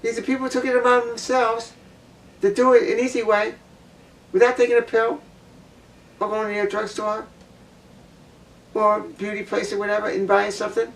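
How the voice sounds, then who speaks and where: A woman with a low voice giving a blunt opinion monologue, repeating her points for emphasis. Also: flat delivery, moderate pace, pauses often, distant mic, poor audio. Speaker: vlogger.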